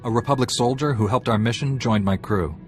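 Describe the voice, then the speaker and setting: An actor playing a composed, dryly witty heroic warrior knight in a video game. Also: male voice, mid-range voice, soft background music, lightly acted. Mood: businesslike. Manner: measured, dry, wry.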